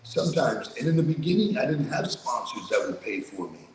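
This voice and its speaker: A man with a low voice telling his story in a casual, streetwise, blunt way, swearing freely, as an interview guest. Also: very animated, brisk, a little mellow, heavy reverb, in a large hall, a little hiss or hum, low-quality recording. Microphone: remote video call.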